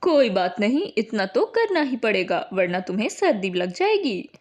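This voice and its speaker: A woman's voice: medium pitch, sing-song, moderate pace. A woman voicing a caring, self-sacrificing young husband in a family story, in a plain, protective manner. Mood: considerate.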